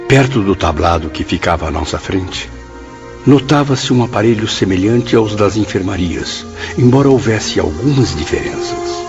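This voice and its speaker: A Brazilian man giving calm, literary first-person narration as a character in a radio drama. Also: fairly low pitch, moderate pace. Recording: muffled, loud music behind.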